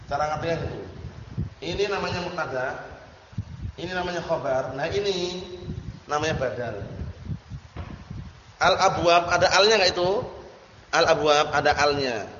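A man's voice: a little high, moderate pace, pauses often. A man teaching Arabic grammar in class, informally drilling students with repeated questions and frequent checks that they understand. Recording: echoey hall, muffled sound with background noise.

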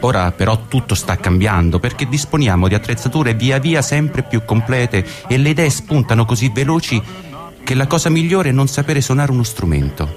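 A man reading a monologue as a radio performer. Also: medium pitch. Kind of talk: dramatic reading of a translated monologue. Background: guitar music.

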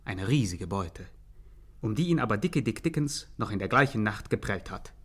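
A man's voice: fairly low pitch, expressive, moderate pace, husky. A man playing a formal, mock-factual narrator in a satirical 1950s radio crime chronicle.

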